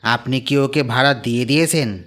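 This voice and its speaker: A man voicing a suspicious middle-aged village tea-stall keeper who talks in low, hushed tones. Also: normal speed, close to an everyday voice. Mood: wary.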